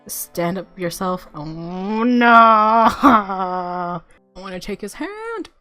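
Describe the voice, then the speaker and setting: A woman with a mid-pitched voice reads a visual novel aloud while music plays underneath. She narrates expressively, in the playful manner of a gamer reacting to the story. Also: very animated, very slow, sharp tone, no echo.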